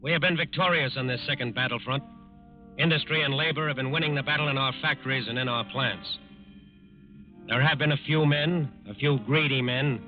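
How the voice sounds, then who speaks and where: A solemn American narrator voiced by a male actor delivers earnest, oratorical wartime radio narration. His pitch is medium, the sound is dull and vintage, and music plays clearly behind him. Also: lightly acted. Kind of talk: scripted 1940s radio narration. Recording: dull lo-fi 1940s radio recording.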